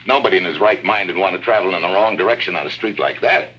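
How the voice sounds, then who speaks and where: A man's voice: low voice, fast, fairly bright tone, slightly rough. A man acting a wry, tough American secret agent in a 1950s radio drama, with sardonic, wisecracking delivery. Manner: sardonic, hard-boiled, wisecracking. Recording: dull old radio recording.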